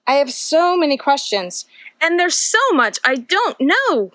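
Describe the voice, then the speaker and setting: A woman's voice: high voice, sing-song, unhurried, a bit bright, dry recording, exaggerated voice. A woman reading aloud as a curious, dreamy sea dragon from a picture book, wondering and asking questions. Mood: curious, amazed.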